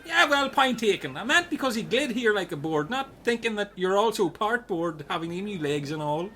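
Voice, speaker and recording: Man acting a blustering, sweary castaway, bright forceful voice. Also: high-pitched, lively intonation, normal speed, a little hiss or hum. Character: foul-mouthed, bossy castaway man with a bladed glove. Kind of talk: comic radio play acting.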